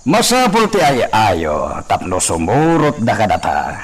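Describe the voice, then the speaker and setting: A man acting a scheming, commanding landowner in a radio drama, speaking deliberately with an Ilocano Filipino accent. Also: on the low side, wildly swooping pitch, full character voice. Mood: commanding, plotting. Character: scheming, commanding hacienda lord giving orders.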